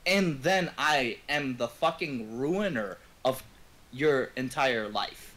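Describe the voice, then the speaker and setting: A man's voice: medium pitch, animated, takes it slowly, fairly bright tone, rough recording. A man from Ontario, chatting casually as a game streamer in a rambling, slangy, swear-heavy way. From Ontario, Canada. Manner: rambling, slangy, profanity-laden. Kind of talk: casual profane chat.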